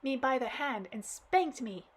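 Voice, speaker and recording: A heartbroken little girl from a storybook wails and sobs through her story in a high, bright voice. A woman performs her while reading aloud. The delivery swoops up dramatically with each new grievance. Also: a little slow. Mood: sobbing. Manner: high, sobbing, wailing delivery.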